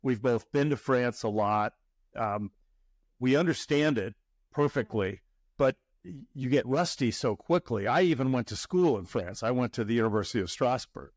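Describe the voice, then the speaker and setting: A man's voice: medium pitch, expressive, normal speed, no room sound. Chatty male podcast host, dry sound. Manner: chatty, repeats words when starting. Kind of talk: interview.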